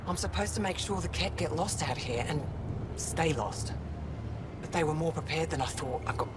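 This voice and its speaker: A man's voice: fairly high pitch, expressive, normal speed, noisy background, close to an everyday voice. An actor playing a wounded male alien scout, stubborn and loyal, speaking in a strained, plain-spoken way.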